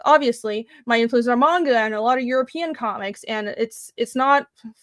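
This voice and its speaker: A woman's voice: a little high, a little fast, a bit bright, no echo, clean studio sound. A young woman from Taiwan talking about her comics in a casual interview, earnest and thoughtful with many fillers. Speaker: comic creator guest.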